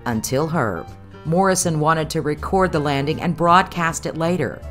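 A woman's voice: deep voice, normal speed, a bit bright, faint music behind. A woman with an American accent reading scripted documentary narration.

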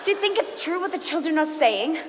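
An actress playing a grave, worried school matron in a comedic staged reading. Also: female voice, extremely high pitch, animated, a little fast. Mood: worried, hushed. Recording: echoey hall, audible room noise.

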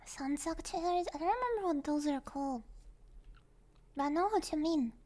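Female ASMR streamer, very high girlish pitch, soft mellow delivery, often whispering, close-miked chat. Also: animated, normal speed. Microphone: close binaural microphone.

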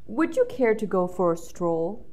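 A woman's voice: medium pitch, big pitch swings, unhurried, a little mellow, pitched below the performer's own voice. A woman voicing a sly, courtly male fox villain in a children's storybook, suave and overly polite as he makes inviting offers. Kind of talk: children's storybook read-aloud.

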